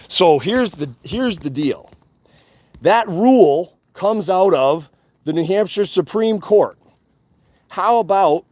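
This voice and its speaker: A man giving a defiant, profane monologue to his viewers, loud and emphatic, repeating his points. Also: sits high, no room sound. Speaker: activist channel host.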